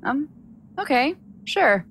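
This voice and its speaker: A woman's voice: high voice, big pitch swings, slow pace, a little hiss or hum, heavily acted. A woman playing a wry, chatty, self-doubting young stage magician in an audio drama. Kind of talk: casual audio drama acting.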